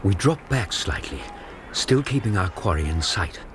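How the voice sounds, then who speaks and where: English gentleman narrator, low voice, lively, measured, radio drama, noise behind him. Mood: descriptive storytelling. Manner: measured, courteous, matter-of-fact.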